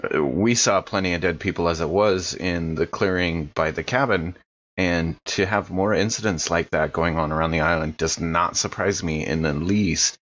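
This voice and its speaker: Deep guy chatting as an rpg player, casual, bit muffled lo-fi audio. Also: normal speed, a bit bright. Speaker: player in a tabletop game. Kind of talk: casual in-game chat.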